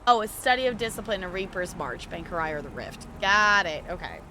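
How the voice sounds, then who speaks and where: A woman's voice: lowish voice, big pitch swings, brisk, noisy background. A woman chatting casually on a game livestream, rambling and hesitant as she thinks aloud. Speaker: game streamer.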